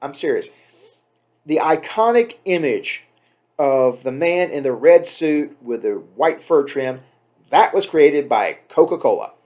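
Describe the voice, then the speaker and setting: A man's voice: on the high side, expressive, a little slow, darkish tone. A man giving a casual, off-the-cuff introduction as a host, chatty and emphatic with wry asides. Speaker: host introducing a poem reading.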